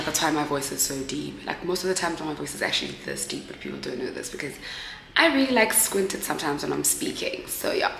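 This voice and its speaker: A woman's voice: lowish voice, creaky, a bit bright, reverberant, slight background noise. A young woman from South Africa in her twenties, chatting upbeat and direct to her viewers while holding her phone camera at arm's length in a room at home. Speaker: vlogger. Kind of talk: casual vlog chat to camera. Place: indoors at home, a bedroom. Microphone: handheld phone camera at arm's length.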